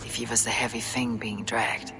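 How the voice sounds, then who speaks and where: An actor voicing a calm, thoughtful Viking warrior who murmurs clues to himself in a video game. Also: male voice, mid-range voice, lightly acted. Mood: musing. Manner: measured, muttering thoughts half-whispered. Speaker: game protagonist. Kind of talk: video game cutscene acting. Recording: game audio with music.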